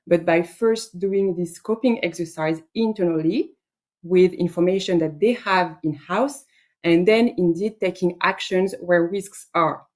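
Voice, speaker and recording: A woman with a somewhat low voice making her case on a panel discussion. She speaks for small firms in an earnest, emphatic manner and presses her points firmly. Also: measured pace, a little mellow.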